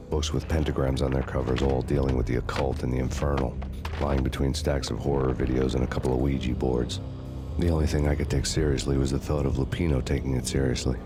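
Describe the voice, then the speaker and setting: Very deep-voiced hard-boiled cop. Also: male voice. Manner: deadpan, sardonic, brooding. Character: world-weary, hard-boiled fugitive male cop, bitter and menacing. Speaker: narrator and lead character. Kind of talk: noir scripted narration.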